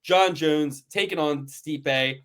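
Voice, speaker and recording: A man hosting a casual fight-betting podcast, playful and animated, swearing as he teases his co-hosts. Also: a little high, slow pace. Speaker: podcast host.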